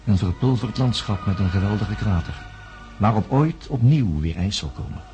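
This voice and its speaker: An actor playing a reflective man who studies the human mind, narrating a radio play in a solemn, contemplative way with dramatic pauses. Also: lowish voice, lively intonation, dark tone, highs cut off, faint music behind, lightly acted. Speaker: narrator recounting events.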